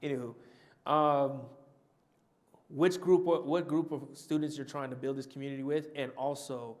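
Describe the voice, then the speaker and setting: A man's voice: medium pitch, fairly even intonation, moderate pace, darkish tone. A man on a panel answering an audience question, talking conversationally with frequent restarts and repeats.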